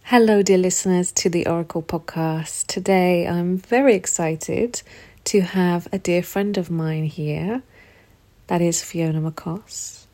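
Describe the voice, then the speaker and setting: A woman hosts a relaxed podcast conversation with a friend. She speaks in a lowish voice and is openly affectionate, calling people love. Her tone is casual and chatty. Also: a little slow. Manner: affectionate, calls guest and listeners love. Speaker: podcast host. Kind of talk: casual interview chat. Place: rural home in the countryside.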